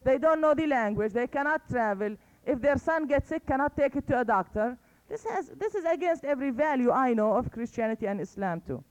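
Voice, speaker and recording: A woman's voice: high-pitched, lively intonation, a little fast, a little mellow. A woman speaking at a conference, making an earnest and persuasive case and often checking in with "okay?". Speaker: conference panelist. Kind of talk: impassioned public talk. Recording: dull lo-fi recording.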